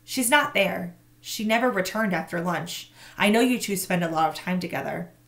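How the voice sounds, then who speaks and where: A woman's voice: fairly low pitch, normal speed, vocal fry, a bit bright. A woman reading aloud, voicing a worried and stern school principal who questions a student.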